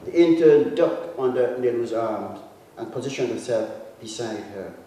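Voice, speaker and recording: A man reads literary fiction in a big echoing hall, picked up from some distance. His voice is mellow and soft-edged, and he phrases each passage deliberately, with dramatic pauses. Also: mid-range voice, lively intonation. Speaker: author reading own short story. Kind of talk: literary reading of prose fiction. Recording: echoey hall, distant mic, faint noise.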